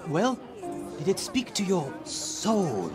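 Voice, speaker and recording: An actor playing a florid, grandiose, playful bard in a video game, with pompous and dramatic delivery. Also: male voice, high voice, huge pitch swings, slow pace, full character voice. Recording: music and outdoor crowd behind.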